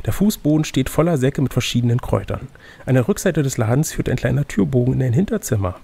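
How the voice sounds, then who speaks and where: Male game master, gravelly and slightly rough, casual storytelling with teasing asides. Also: medium pitch, lively intonation, quick pace, dark tone. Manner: teasing, playful. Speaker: game master and reader of an adventure gamebook. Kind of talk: reading a gamebook aloud with casual banter.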